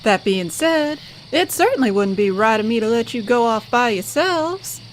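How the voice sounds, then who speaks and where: Woman as a high-voiced squirrel innkeeper. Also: animated, moderate pace, slight background noise, lightly acted, poor audio. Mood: sympathetic. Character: folksy, hospitable squirrel hotel keeper, briskly protective. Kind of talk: audio drama character acting.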